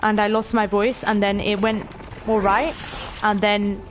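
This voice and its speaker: A woman in her late teens with a stuffy nose, casually narrating a game stream, chatty and excitable, swearing offhand and talking to her viewers. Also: medium pitch, measured pace, music in the background. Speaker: gaming streamer. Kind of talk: casual let's-play commentary.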